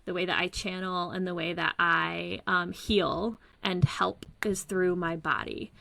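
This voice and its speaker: A woman guest on a call, earnestly explaining her energy work and searching for words as she goes. Her voice has a strong gravelly fry with a bright, tense edge, and the sound is dry. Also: lowish voice, little pitch movement, a little slow, dry recording, rough recording. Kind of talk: casual explanatory talk. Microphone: remote call.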